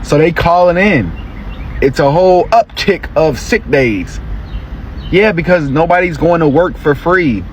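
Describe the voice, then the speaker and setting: A man's voice: fairly high pitch, expressive, a little slow, a little hiss or hum. A man in a casual, blunt and exasperated rant, talking straight to viewers. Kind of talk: casual social media rant.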